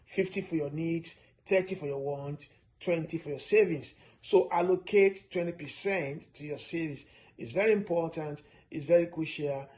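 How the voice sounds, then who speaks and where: A man from Nigeria giving a steady, repetitive finance lesson, stressing his points with frequent "uh" fillers. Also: fairly high pitch, moderate pace. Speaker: personal finance presenter. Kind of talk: instructional talk to camera.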